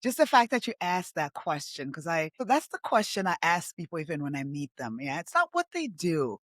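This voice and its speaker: A woman's voice: low voice, sing-song, a little fast, fairly bright tone, no room sound, clean studio sound. A middle-aged woman from Tanzania and Zambia, a podcast guest who talks earnestly and from the heart. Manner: earnest, heartfelt, repeats herself for emphasis. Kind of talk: reflective interview talk.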